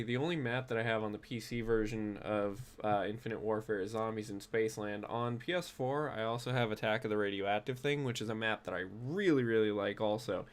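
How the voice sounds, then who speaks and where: A man's voice: medium pitch, smooth. A young man with a stuffy nose, chatting casually and rambling with many fillers while he streams a game. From United States. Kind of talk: casual gaming stream chat.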